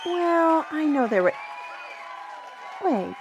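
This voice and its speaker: A woman's voice: sits high, very animated, takes it slowly, exaggerated voice. Woman acting wry adventurer amid crowd noise. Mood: wry, musing. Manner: conversational, wry, hesitant asides. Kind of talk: fantasy audio drama acting. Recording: background crowd and ambient noise.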